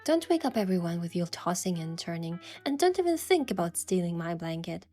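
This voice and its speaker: A woman playing a sincere, easily flustered young heroine, narrating and speaking in a romance story with soft expression. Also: lowish voice, sing-song, fairly quick. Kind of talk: first-person narration and dialogue in a romance story. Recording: music behind the voice.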